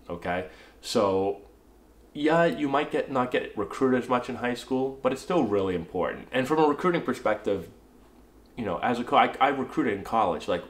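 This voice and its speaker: Stuffy-nosed coach with a cold. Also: male voice, fairly low pitch. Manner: casual, coachy, tags lines with "right?". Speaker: baseball coach answering viewer questions. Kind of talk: casual talking-head Q&A. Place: small indoor room, plain wall. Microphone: camera in front, head and shoulders. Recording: echoey room.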